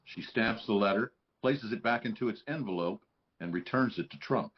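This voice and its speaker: A man's voice: fairly low pitch, moderate pace, dull audio. A man reading scripted stage directions as narrator at a live table read.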